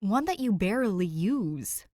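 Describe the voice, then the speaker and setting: A woman's voice: mid-range voice, big pitch swings, unhurried, mellow, no echo, clean studio sound. A woman voicing a worried, protective young man, an older brother in a story, earnest and pressing.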